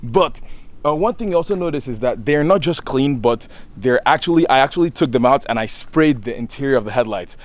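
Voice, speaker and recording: A young man chats through a how-to video outdoors beside his car in a loose, goofy way. He often laughs and circles back to restart his sentences, and his voice sits at an ordinary middle pitch. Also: expressive, brisk. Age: young adult. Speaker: car vlogger. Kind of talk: casual how-to vlog.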